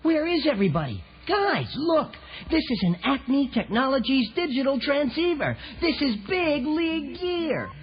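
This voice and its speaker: A confident young male turtle hero in a cartoon audio drama. The voice is high, lively and eager, on a dull recording with music clearly behind it. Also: measured pace, hardly any pauses. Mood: curious. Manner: eager, punchy exclamations. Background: music score.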